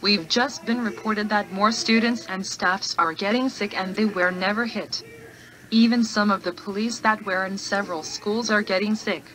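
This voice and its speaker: A woman playing a TV news anchor, reading a scripted bulletin in a flat, measured way. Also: medium pitch, lightly acted, poor audio.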